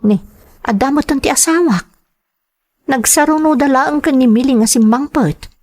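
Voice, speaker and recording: A woman's voice: high-pitched, normal speed, a little mellow, natural voice. A woman playing a caring, worried village mother and wife in an Ilocano radio drama, speaking expressively and with sympathy. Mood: worried, pitying. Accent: Filipino, Ilocano. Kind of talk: radio drama acting with narration.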